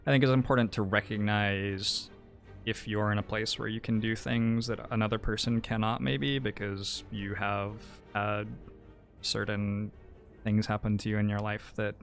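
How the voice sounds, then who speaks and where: A man's voice: fairly low pitch, level tone, normal speed, soft background music. A man from New Zealand, a game streamer, chatting with viewers in a thoughtful, hesitant, musing way. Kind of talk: casual livestream chat.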